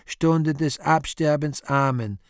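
A man's voice: a little high, takes it slowly, dry recording, clean studio sound. A man voicing naive child angels who speak by rote in a drawling, sing-song way with odd stresses.

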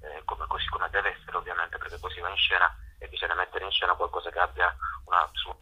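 A man answering interview questions spontaneously, hesitant and often pausing to search for words. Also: low voice, little pitch movement, bright, forceful tone, husky, poor audio. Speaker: interview guest, playwright and theatre maker.

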